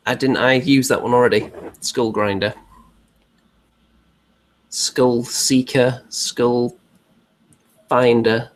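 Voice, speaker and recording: A man's voice: medium pitch, steady tone, takes it slowly, pauses often. A man co-hosting a hobby show in casual conversational chat.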